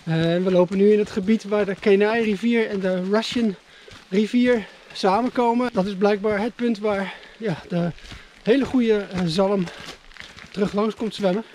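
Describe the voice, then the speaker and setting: A man's voice: high-pitched, fairly even intonation, moderate pace, a little mellow, slight background noise. Chatty man vlogging outdoors. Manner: chatty, hesitant with fillers. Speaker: travel vlogger. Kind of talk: casual travel vlog talk to camera. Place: outdoors by a river.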